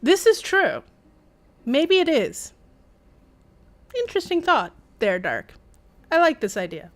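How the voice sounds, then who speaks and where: A woman streaming a video game, commenting and reading in-game text aloud in a wry, chatty, expressive way, with game music behind her. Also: high-pitched, slow pace.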